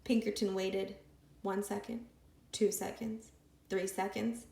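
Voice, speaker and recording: A woman reading a picture book aloud with expressive, lively storytelling. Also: medium pitch, slow pace, creaky. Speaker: storybook reader. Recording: echoey room.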